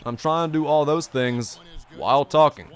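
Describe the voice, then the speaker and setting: A man's voice: on the high side, normal speed, clean tone. A young man live-streaming a basketball video game, talking upbeat and repeating himself as he reads his chat, his voice a little strained from long streaming. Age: young adult. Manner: upbeat, chatty, repeats his own phrases. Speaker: gaming streamer. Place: bedroom gaming setup, indoors.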